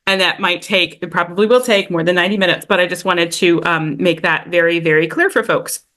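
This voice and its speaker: A woman's voice: lowish voice, brisk, fairly bright tone. A woman chairing a public meeting over a video call, upbeat and effusive, with frequent fillers. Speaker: committee chair.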